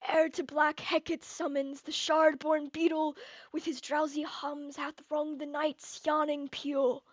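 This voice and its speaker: A young woman acting a brooding, tormented, murder-plotting king in Shakespearean verse, moving between hushed and declamatory delivery. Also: high voice, level tone, measured pace, dry recording, muffled recording. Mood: brooding, secretive. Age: young adult.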